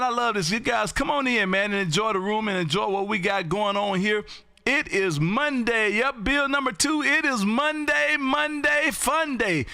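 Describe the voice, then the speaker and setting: A man in his fifties from Texas, hosting a live amateur radio stream from his home radio room and calling out for contacts in a loud, drawn-out sing-song. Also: high voice, clear, resonant tone, no room sound. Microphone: studio boom mic, headphones.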